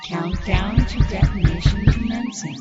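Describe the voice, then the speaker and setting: A woman's voice: mid-range voice, a little slow. A woman playing an impassive, mechanical computer announcing a countdown in a comic audio drama. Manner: deadpan, mechanical. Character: impassive female computer counting down to self-destruct. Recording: echoey dull audio, loud electronic music.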